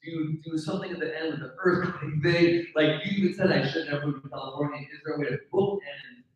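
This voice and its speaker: A man's voice: on the high side, steady tone, fast talker. An American man moderating an informal audience discussion as host. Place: indoor live event room. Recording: echoey room, distant dull mic.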